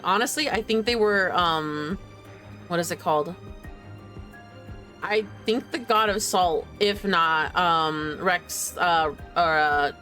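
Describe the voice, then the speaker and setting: A woman's voice: medium pitch, fairly bright tone. A woman game streamer giving casual live reactions, musing aloud in an informal way.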